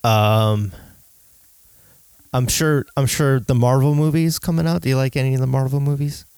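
A man's voice: medium pitch, steady tone, normal speed, darkish tone, smooth, no echo. A man hosting a podcast, chatting casually in a nasal voice and rambling through lists. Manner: nasal, rambling list-making. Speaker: podcast host. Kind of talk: casual chat.

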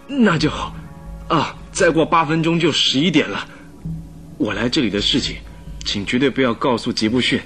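A timid gentleman played by a male actor in a radio play. He pleads in an earnest, anxious manner at a middling pitch, with faint music underneath. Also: moderate pace, natural voice. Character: timid, cowed gentleman secretly warning of his master. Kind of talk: radio drama acting.

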